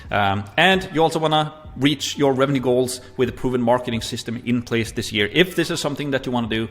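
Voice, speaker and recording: A man's voice: medium pitch, fast talker, a bit bright. Swedish-accented man, course instructor, easygoing, heavy on fillers. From Sweden. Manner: frequent fillers, "essentially" and "right?". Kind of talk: recorded marketing lesson.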